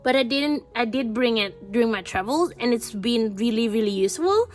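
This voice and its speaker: A woman's voice: fairly high pitch, lively intonation, normal speed, soft background music. A woman vlogger chatting casually as she shows stationery on her desk, her voice a bit congested from a cold, with many fillers and restarts. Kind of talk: casual show-and-tell chat. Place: indoors at a desk.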